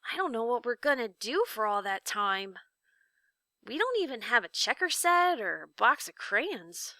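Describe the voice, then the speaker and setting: Storybook lead: curious young boy, acted by a woman, earnest, bright, animated pitch. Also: unhurried, dry recording, full character voice. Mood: worried. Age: child. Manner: earnest, plain-spoken. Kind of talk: children's storybook read-aloud.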